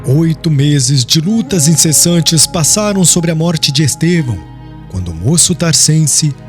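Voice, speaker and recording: A man's voice: fairly high pitch, expressive, measured pace. Solemn Brazilian male narrator over music. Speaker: audiobook narrator. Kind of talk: scripted literary narration of a religious historical novel. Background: background music.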